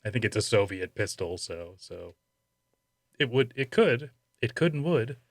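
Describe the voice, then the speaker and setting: A man hosting a casual comic interview, asking playful questions in a deadpan way. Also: medium pitch, unhurried, dry recording, clean studio sound. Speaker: podcast host, interviewer.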